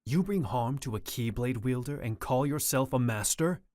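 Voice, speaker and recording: A man's voice: medium pitch, animated, normal speed, a little mellow, dry recording, close to an everyday voice. Man voicing a stern, principled warrior master. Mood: stern, reproachful. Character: stern, principled male Keyblade master confronting a rival. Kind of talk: anime-style game fandub acting.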